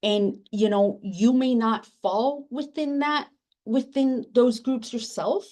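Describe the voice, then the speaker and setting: A woman's voice: a little high, slow pace, warm tone. A woman whose first language is Spanish, answering a panel question earnestly on a video call, with many fillers and restarts. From immigrant, first generation. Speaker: book editor on a panel.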